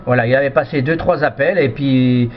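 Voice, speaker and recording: A man's voice: mid-range voice, brisk, faint music behind. A man chatting casually over amateur radio in a polite, hesitant, rambling way, often repeating himself. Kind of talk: casual radio contact chat. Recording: dull lo-fi radio audio with noise.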